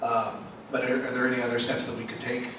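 A man in his thirties moderating a panel discussion with a handheld mic, speaking in a hesitant, halting way. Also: medium pitch, completely flat delivery. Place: large church hall. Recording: echoey hall from far off, faint hiss.

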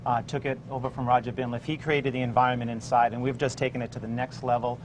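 A man's voice: mid-range voice, fast, noisy background. Middle-aged chef talking about his restaurant. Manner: relaxed, listing dishes with frequent fillers. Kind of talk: on-camera interview and cooking demo. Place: restaurant dining room and kitchen.

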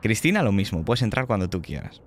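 A man's voice: lowish voice, very animated, brisk, a little hiss or hum. A man narrating a tabletop horror game as game master, describing scenes vividly and dramatically.

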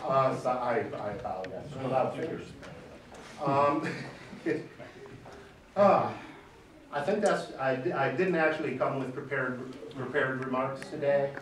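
A man in his forties testifying at a public hearing, speaking loudly and emphatically, off the cuff. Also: medium pitch, animated, unhurried, background noise. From Michigan. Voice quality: loud voice. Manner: emphatic, gesturing, pounds the table. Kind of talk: unscripted testimony. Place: large wood-panelled hearing room. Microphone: far camera. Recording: echoey room, camera far off.

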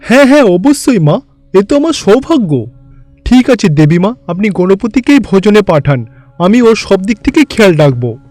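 A man's voice: high-pitched, big pitch swings, moderate pace, a little mellow, dry recording, soft background music, voice pushed up. A man voicing a vain, boastful god of wealth in a theatrical cartoon fairy-tale style. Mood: fawning, pleading. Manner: pompous, gloating, theatrical. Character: boastful, vain rich god of wealth, fawning before superiors. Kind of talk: Bengali animated fairy-tale voice acting.

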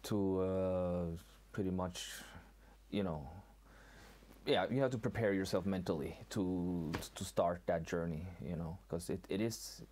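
Man in his forties from Norway, very low voice, tired and easygoing, casual guest. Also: fairly even intonation, measured pace, darkish tone, a little hiss or hum. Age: 40s. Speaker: guest rapper. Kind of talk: casual interview chat. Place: cluttered storage room indoors.

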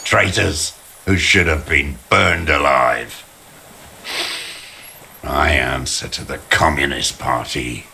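An actor playing a bitter, defiant old communist deserter in a video game, gravelly, slow and contemptuous, speaking like a doctrinaire ideologue. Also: male voice, very deep voice, steady tone, slight background noise, exaggerated voice. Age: older. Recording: loud music behind the voice. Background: music.

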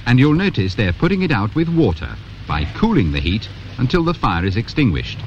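A British man in his fifties presenting a scripted instructional training film in a measured, instructive manner. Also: medium pitch, sing-song. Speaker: presenter and narrator of a fire safety training film. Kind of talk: scripted instructional narration to viewer. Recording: muffled, noisy 1980s 16mm film soundtrack.

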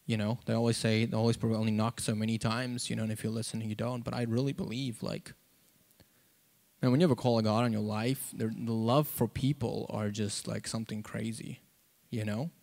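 A man gives an informal sermon and testimony into a handheld mic, halting and conversational, with lots of "like" and "you know.". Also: on the low side, little pitch movement, gravelly, a little mellow. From South Africa. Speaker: preacher. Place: church auditorium, on stage before seated congregation. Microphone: handheld microphone.

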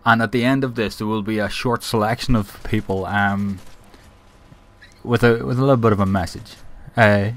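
A man's voice: lowish voice, fairly even intonation, moderate pace. Rambling man talking to his viewers. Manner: rambling, lots of fillers and restarts. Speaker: gaming channel host. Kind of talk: casual reflective talk to viewers.

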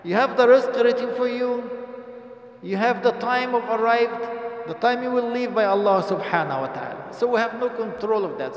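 A man's voice: very high voice, muffled recording. An older man giving a Friday sermon in a mosque at a stand microphone, earnest and exhorting, in non-native English with Arabic phrases mixed in. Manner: earnest, exhorting, rising to emphatic stress, asks the congregation questions. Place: mosque prayer hall, indoors. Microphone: stand microphone at a lectern. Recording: echoey mosque hall.

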